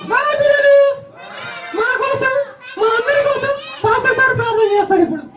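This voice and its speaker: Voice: very high-pitched, fairly quick. A puppeteer voicing a childlike puppet, exhorting children and asking them questions at a Christmas puppet show. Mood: rousing. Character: squeaky childlike puppet preaching cheerfully to children. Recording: echoey hall, crowd and noise behind.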